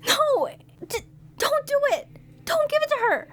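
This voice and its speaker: A woman's voice: extremely high pitch, sing-song, unhurried, fairly bright tone, full character voice. Woman voicing a sweet young researcher, pleading. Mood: desperate. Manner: desperate, pleading, breathless.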